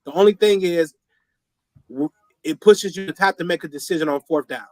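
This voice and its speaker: A man with a slightly hoarse, scratchy voice from a cold, giving laid-back football opinions as a sports show co-host. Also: a little high, normal speed, no echo. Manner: laid-back, fillers like "you know what I'm saying". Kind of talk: casual sports talk.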